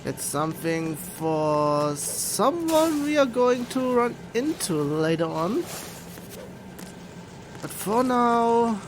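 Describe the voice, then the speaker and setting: Slow, halting woman commentating a game. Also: fairly high pitch, big pitch swings, background noise. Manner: halting, thinking aloud. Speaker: game streamer. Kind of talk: casual live playthrough commentary.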